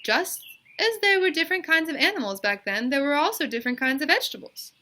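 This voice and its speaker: A woman's voice: sits high, animated, quick pace, bright, forceful tone, pitched above the performer's own voice. A woman voicing a patient but weary woman schoolteacher with pointed questions, in a children's storybook read-aloud. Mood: weary, muttering.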